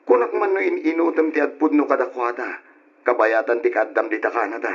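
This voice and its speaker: Radio drama lovesick young Ilocano man: husky, plaintive, tender, dull lo-fi sound. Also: mid-range voice, steady tone, brisk. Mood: longing. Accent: Filipino, Ilocano. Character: lovesick young boyfriend, longing and anxious. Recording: dull narrow-band radio drama recording.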